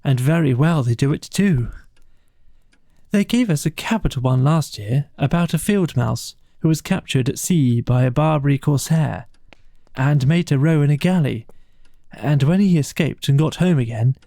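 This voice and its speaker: A man's voice: fairly high pitch, normal speed, dark tone, dry recording, clean studio sound, natural voice. A man voicing an eager, sociable, fretful mole in a storybook, with a flustered, slightly stammering manner. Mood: proud, chatty.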